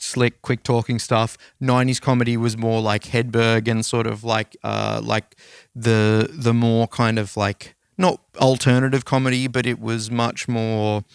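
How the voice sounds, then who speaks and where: Smooth-voiced man in casual podcast chat. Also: medium pitch, steady tone, normal speed, no room sound, studio quality. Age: 30s. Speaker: podcast host. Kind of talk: casual chat about comedy and culture.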